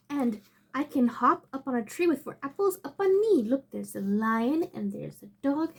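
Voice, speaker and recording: Woman as an animated, high-voiced silly robot host. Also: creaky, slight background noise. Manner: playful, sing-song, exclamatory, talking to small children. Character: chatty, silly female robot hosting story time. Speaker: story-time host. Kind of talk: children's read-along with asides.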